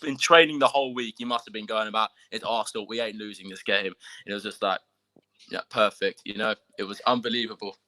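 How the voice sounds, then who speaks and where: Croaky bright-voiced young fan. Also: male voice, on the low side, steady tone, a little fast, poor audio. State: croaky from screaming. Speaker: caller, football fan. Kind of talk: casual fan chat.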